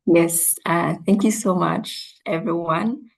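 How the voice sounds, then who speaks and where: A woman from Rwanda, a guest coach, answering interview questions in a measured, reflective way. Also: fairly low pitch, vocal fry.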